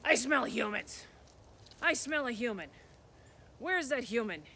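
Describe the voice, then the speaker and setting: A storyteller voicing a gruff, suspicious male troll who bellows his lines louder and a bit lower than the narration. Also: expressive, unhurried, slight background noise. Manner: loud, blunt and suspicious.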